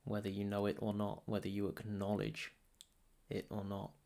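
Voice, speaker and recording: A man leading a guided meditation as a teacher, speaking soothingly and deliberately in short phrases with long pauses between them. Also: on the low side, completely flat delivery, creaky, warm tone.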